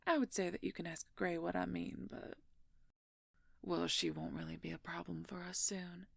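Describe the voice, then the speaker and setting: Woman voicing a possessive werewolf villainess, very gravelly fry, husky whispering, smug and condescending, audio roleplay. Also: fairly even intonation, dry recording, clean studio sound, full character voice. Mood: mocking, bitter. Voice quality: breathy, creaky low murmur, often whispered. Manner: slow, smug, condescending, drawn-out menace. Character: menacing, possessive female werewolf, former court mage. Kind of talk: audio roleplay monologue addressed to the listener.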